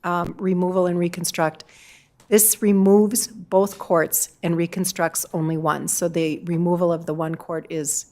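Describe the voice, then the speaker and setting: A woman chairing a city council meeting, asking staff questions and moving through votes in a brisk, procedural way. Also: lowish voice, little pitch movement, mellow. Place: council chamber.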